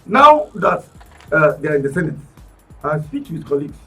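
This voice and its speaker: A man with a Nigerian accent, arguing politics on a radio talk panel in an animated, emphatic way, often restarting his words. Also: fairly high pitch, a little fast, far from the mic, faint music behind, poor audio. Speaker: political commentator guest. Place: radio studio.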